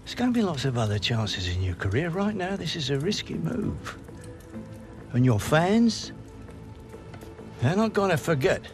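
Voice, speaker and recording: An actor playing an older grandfather who calmly warns his grandson against a risky move, in a video game cutscene. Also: a little high. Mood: cautious. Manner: measured, cautioning. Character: cautious older grandfather, protective and wary. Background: music.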